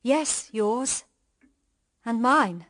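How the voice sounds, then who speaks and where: An actress playing a resolute, self-sacrificing sister in a radio drama, speaking in a measured, earnest, grave way. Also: female voice, a little high, animated, a bit bright, dry recording, clean studio sound, heavily acted.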